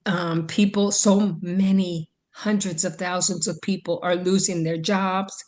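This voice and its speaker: Woman podcast co-host, unhurried, thoughtful, hesitant, many ums. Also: on the low side, fairly even intonation, darkish tone. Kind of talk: casual chat.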